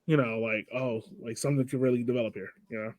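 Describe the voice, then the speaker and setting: A man's voice: medium pitch, steady tone, quick pace, a little mellow, dry recording. A man hosting a casual sports podcast, talking in an informal, slangy way. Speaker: podcast host.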